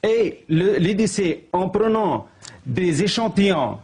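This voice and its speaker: Man, Arabic native speaker, debate guest, husky voice, halting, frequent restarts. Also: high-pitched, lively intonation, darkish tone. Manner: halting, with many pauses and restarts. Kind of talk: televised debate.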